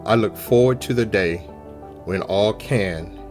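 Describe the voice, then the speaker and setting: A man's voice: fairly low pitch, measured pace, a little mellow. A man reading a scripted spoken tribute aloud. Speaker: volunteer reader. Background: music.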